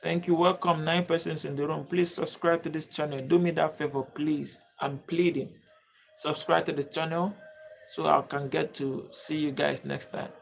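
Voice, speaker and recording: A man's voice: on the high side, little pitch movement, normal speed, vocal fry. A man from Nigeria, hosting a live-streamed lesson and repeatedly pleading with viewers to comment and subscribe. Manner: repetitive, pleading appeals to viewers. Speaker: livestream host and teacher.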